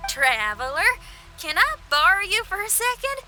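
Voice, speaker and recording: A woman playing a confident, spirited young adventurer in a video game, with a casual, frank and expressive delivery. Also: extremely high pitch, moderate pace, over music. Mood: wary.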